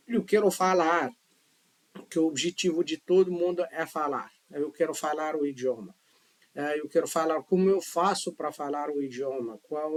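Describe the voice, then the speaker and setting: A middle-aged male language teacher with a voice a little higher than most men's. He talks casually to his viewers, mixing languages freely. He keeps asking emphatic rhetorical questions to drive his points home. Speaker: language teacher, channel host. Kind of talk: casual spoken lesson to viewers.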